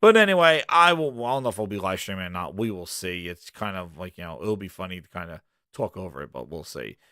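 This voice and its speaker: A man hosting a casual gaming livestream, hoarse and rambling, launching into animated rants. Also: low-pitched, fast talker, no echo, clean studio sound. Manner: animated ranting, loose and rambling. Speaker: gaming podcast host.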